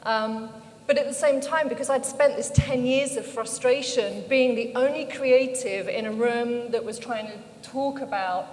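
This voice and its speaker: A woman's voice: on the high side, a little slow, a little mellow. A middle-aged British woman speaking on a panel, telling her story in a conversational way. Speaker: panel speaker, founder of an organization. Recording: echoey hall, background noise.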